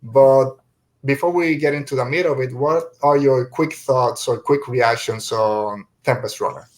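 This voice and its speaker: A man's voice: medium pitch, unhurried, rough recording. A middle-aged man who is a native Spanish speaker, hosting a podcast and chatting casually through a review. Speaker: podcast host.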